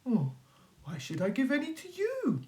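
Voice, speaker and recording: A put-upon male wolf from a children's storybook, acted out by a woman, speaking politely and plaintively. The words are drawn out in a long-suffering way, with pitch that swings about. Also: huge pitch swings, normal speed, dark tone. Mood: aggrieved.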